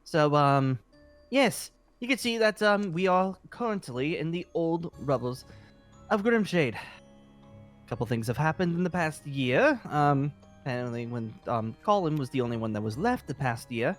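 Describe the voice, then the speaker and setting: A man's voice: on the high side, animated, unhurried. A man playing an eager, apologetic fantasy guild leader in improvised roleplay, talking in a hesitant, rambling way. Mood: apologetic, earnest. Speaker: roleplay performer. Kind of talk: improvised Minecraft roleplay dialogue. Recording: music under the voice.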